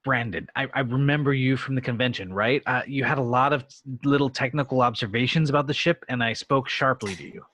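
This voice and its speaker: Casting: male reader as urgent, earnest starship-captain actor, forceful and raspy. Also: mid-range voice, fairly quick, hardly any pauses, no echo, natural voice. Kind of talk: script table read.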